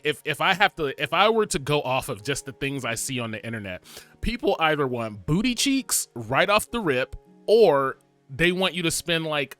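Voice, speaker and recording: A man in his twenties chatting casually to camera, rambling and animated, with lots of fillers and laughs. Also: medium pitch. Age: 20s. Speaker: vlogger.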